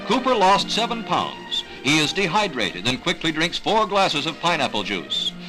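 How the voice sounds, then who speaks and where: Man, American accent, 1960s newsreel narrator, animated intonation, dramatic announcer delivery, music behind. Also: a little high, normal speed, rough recording.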